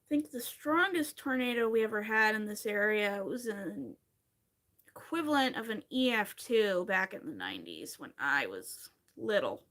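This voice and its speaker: A woman's voice: mid-range voice, very animated, normal speed, a bit bright. A woman in her thirties from Pennsylvania, chatting casually and rambling with wry asides while she crochets on a livestream.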